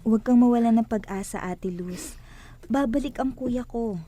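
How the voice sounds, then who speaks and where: A woman's voice: fairly high pitch, normal speed, warm tone, lightly acted. An actress playing a devout, hopeful woman who speaks earnestly and reassuringly in a religious radio drama. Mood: earnest, comforting.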